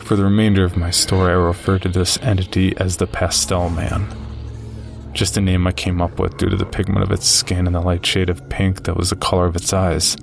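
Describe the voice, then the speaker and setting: A deep-voiced horror narrator, tells his own frightening story in a measured, grave way. His delivery is very even and gravelly, with music underneath. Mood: grave, uneasy. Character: man recounting a frightening childhood encounter with a monster. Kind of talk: scripted first-person horror narration.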